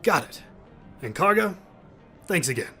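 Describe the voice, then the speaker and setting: A man's voice: sits high, sing-song, measured pace, pushed and bright, breathy edge, soft background music, full character voice. A man voicing a small, sly, grumbly alien factory worker in an audio drama. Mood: sly, casual.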